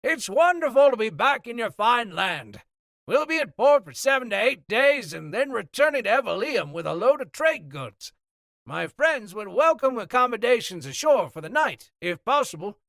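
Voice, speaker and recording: A man voicing a courteous ship captain who speaks loudly and formally. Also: very high voice, sing-song, normal speed, vocal fry, no echo, pitched above the performer's own voice, full character voice. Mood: hearty. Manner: loud, gracious, formal.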